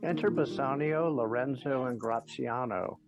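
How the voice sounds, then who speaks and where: A man's voice: mid-range voice, a little slow, over music, close to an everyday voice. A man narrating stage directions for an audio play in a matter-of-fact, scripted manner. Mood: neutral. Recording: dry, slightly dull recording.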